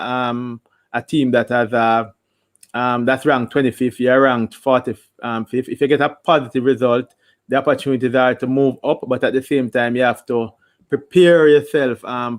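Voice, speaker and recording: Animated host, stumbling over words. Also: male voice, medium pitch. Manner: animated, stammering restarts, reads viewer comments. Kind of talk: casual watch-along chat.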